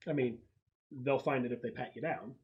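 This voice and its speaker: A man's voice: medium pitch, fairly even intonation, moderate pace, dull audio. A man explaining and narrating casually as game master of a tabletop roleplaying session. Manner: explanatory, conversational.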